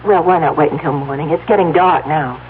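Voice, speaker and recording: An actress playing a tender, anxious farm wife in an old radio drama, emotional and earnest. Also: female voice, low-pitched, brisk, natural voice. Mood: guilty, anxious. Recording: tinny, hissy old radio recording with hum.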